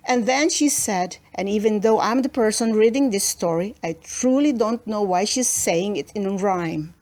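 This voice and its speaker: A woman narrating a children's storybook as an expressive read-aloud. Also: medium pitch, normal speed.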